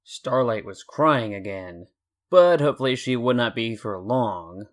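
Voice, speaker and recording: A man's voice: medium pitch, animated, measured pace. A man in his twenties reading a scripted story as a dramatic narrator. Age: 20s. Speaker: story narrator and channel host.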